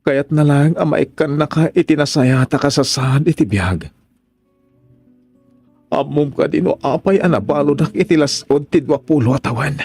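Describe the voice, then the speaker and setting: A man's voice: a little high, moderate pace, a little mellow, husky, faint music behind. A man acting in a radio drama as a tender, remorseful middle-aged father back from prison, asking forgiveness. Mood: pleading.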